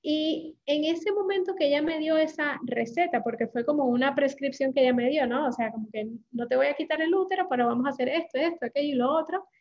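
Woman, 40s, light Puerto Rican accent; high-pitched, earnest interview guest on dull lo-fi audio. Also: quick pace, few pauses. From Puerto Rico. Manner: earnest, fluent, with many fillers. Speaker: guest, creativity coach. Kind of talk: casual podcast interview.

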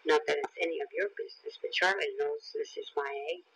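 A man's voice: fairly high pitch, fairly even intonation, brisk, a bit bright, a little hiss or hum, poor audio. A man reading the part of a smug, defensive boy champion in a children's audiobook, with a challenging manner. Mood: indignant.

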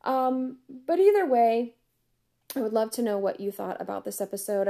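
A woman hosting a casual solo podcast, chatty and upbeat with frequent laughs, her voice going hoarse. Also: medium pitch, dark tone. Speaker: podcast host.

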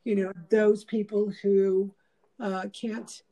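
A woman's voice: mid-range voice, little pitch movement, slow, warm tone, rough recording. A woman hosting a casual chat, talking in a meandering, reflective way with many fillers. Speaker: host.

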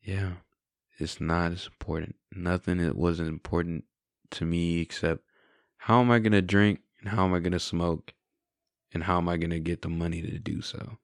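A man's voice: low voice, fairly even intonation, normal speed, dry recording, studio quality. A man in his twenties sharing his testimony in a candid, reflective, conversational way. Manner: candid, reflective, often adds "right?" and "you know". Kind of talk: casual conversational storytelling.

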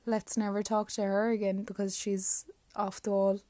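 Irish woman, twenties, casual podcast host; flat, rambling, sweary. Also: mid-range voice, moderate pace, no echo. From Ireland. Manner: rambling, swears freely, talks to herself. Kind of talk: casual solo podcast chat.

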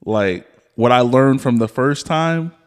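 A man's voice: mid-range voice, takes it slowly, clean tone. Man, thirties, podcast host, casual chat, laid-back, candid. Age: 30s. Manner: relaxed, laughing, frank.

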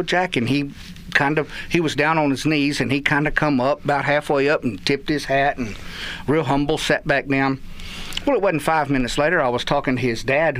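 A man with a Texan accent, a guest on a radio chat show, telling lively stories and acting out the quotes. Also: on the high side. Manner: animated storyteller, acts out quotes. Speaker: guest, youth baseball parent. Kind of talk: casual radio chat, storytelling.

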